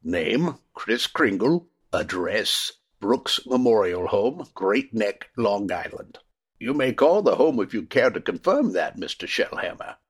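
Kindly old Santa, played by a man: slow, husky, courteous, earnest, expressive radio-play reading. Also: lowish voice, heavily acted. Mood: sincere. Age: older. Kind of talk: comedic radio play acting.

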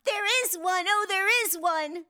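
A woman playing an excitable, earnest little girl in a holiday radio play. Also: very high voice, unhurried, exaggerated voice. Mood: delighted.

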